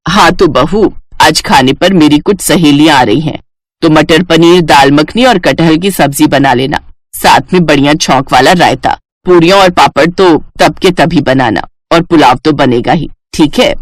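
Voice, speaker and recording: A woman's voice: sits low, moderate pace, no room sound. A woman voicing a middle-aged mother-in-law in a moral story, gushing in company and privately exasperated. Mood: bossy, friendly. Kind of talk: animated Hindi story dialogue.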